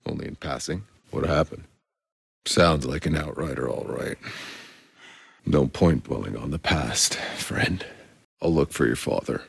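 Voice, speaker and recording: A man playing a gruff, weary, sarcastic soldier as a video game protagonist, terse and hard-bitten with a deep, gravelly voice. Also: measured pace, pauses often, exaggerated voice. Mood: dry, weary. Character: gruff, weary, sarcastic male Outrider soldier on a hostile planet. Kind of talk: dramatic video game voice acting.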